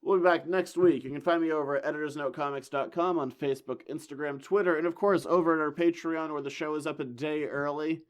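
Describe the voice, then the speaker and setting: A man hosting a casual comics podcast, hoarse from losing his voice, with dry wisecracks. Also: a little high, steady tone, fast, hardly any pauses. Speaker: podcast host. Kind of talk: casual chat.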